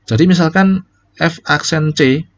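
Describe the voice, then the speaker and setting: A man's voice: fairly high pitch, a little slow, dull audio. A man teaching calculus in a casual, step-by-step way, often correcting himself. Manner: casual, explains step by step, many fillers and self-corrections. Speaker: calculus lecturer. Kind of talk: recorded calculus lecture.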